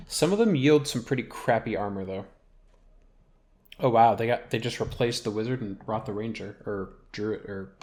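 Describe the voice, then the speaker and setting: A man's voice: mid-range voice, darkish tone. A man giving casual, rambling gameplay commentary as a video host, thinking aloud as he plays.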